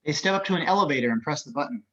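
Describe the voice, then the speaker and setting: A man's voice: on the high side, animated, very fast, a bit bright, lo-fi sound. A man narrating screenplay action descriptions as scripted narration. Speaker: narrator of stage directions.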